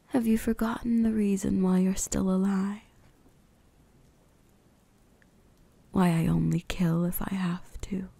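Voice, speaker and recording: Woman acting a slow, breathy ancient vampire huntress. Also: medium pitch, warm tone, full character voice. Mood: teasing, seductive. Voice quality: breathy, whispery. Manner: slow, teasing, condescending. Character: ancient, predatory, seductive female vampire huntress. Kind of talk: ASMR roleplay, intimate scripted monologue.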